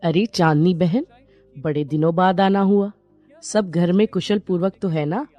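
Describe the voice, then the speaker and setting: A woman voicing a worried, homely mother in an animated moral story, speaking earnestly. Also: fairly low pitch, measured pace, no room sound, dull audio, soft background music, close to an everyday voice. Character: worried, homely mother seeking a match for her daughter.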